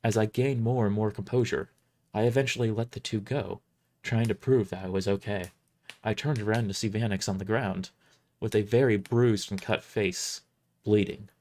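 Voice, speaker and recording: A narrator reading a first-person fantasy audio drama in a deliberate, emotive way. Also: mid-range voice, steady tone, a little mellow.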